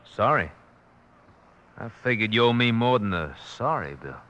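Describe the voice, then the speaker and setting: An American actor playing a cocky, unrepentant outlaw with a western drawl in an old-time radio drama. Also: male voice, medium pitch, big pitch swings, normal speed, bright, forceful tone, lo-fi sound. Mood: needling.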